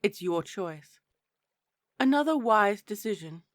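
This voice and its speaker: A woman plays a dutiful, stern attendant to royalty in a fantasy audio drama. She is prim and formal, choosing her words slowly at a medium pitch. The sound is dry and close. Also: lively intonation, no room sound. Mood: stern, cool. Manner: formal, clipped, proper. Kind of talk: romantic fantasy audio roleplay.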